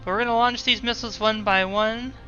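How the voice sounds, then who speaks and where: A woman's voice: a little high, steady tone, a little fast, sharp tone. A woman giving live gameplay commentary, explaining each command and move as it happens. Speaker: gaming video host. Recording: muffled narrow band, music behind. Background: game music and engine sounds.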